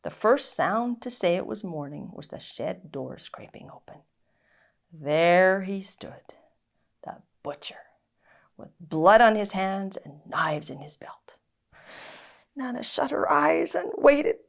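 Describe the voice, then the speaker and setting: A woman reading a children's story aloud in an expressive, dramatic narrating style. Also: mid-range voice, slow, vocal fry. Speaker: story reader.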